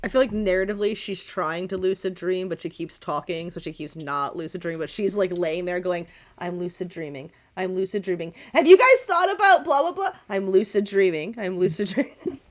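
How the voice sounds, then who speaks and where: Low-pitched woman running a tabletop game. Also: brisk. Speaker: game master. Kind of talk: tabletop role-play game talk, explaining lore.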